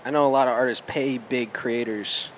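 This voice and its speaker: A man's voice: mid-range voice, steady tone, normal speed, slight background noise. A young man in casual podcast chat, laid-back and full of fillers.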